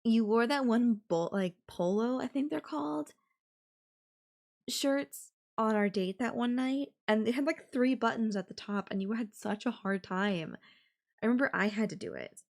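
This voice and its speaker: A woman playing a possessive, doting young yandere girlfriend in an intimate audio roleplay, speaking coyly and affectionately with lingering pauses. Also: a little high, gravelly. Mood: doting, wistful.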